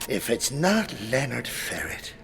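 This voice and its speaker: An older priest, wry and teasing, played by a man in a comedy radio play. His mid-pitched voice rises and falls in lively fashion, with soft music underneath. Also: unhurried, vocal fry, heavily acted. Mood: amused teasing.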